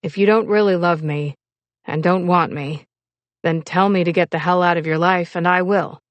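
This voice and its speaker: A woman voicing a proud, frustrated young sailor in love, husky, terse and intense, in an audiobook story. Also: lowish voice, fairly even intonation, moderate pace, dry recording, natural voice. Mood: intense, demanding. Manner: terse, intense, clipped words. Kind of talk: audiobook character dialogue.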